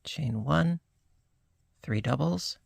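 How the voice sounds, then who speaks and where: A crochet instructor, slightly hoarse, calmly calling out each stitch in a step-by-step tutorial. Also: medium pitch, dry recording. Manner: calm, unhurried, talks through each stitch.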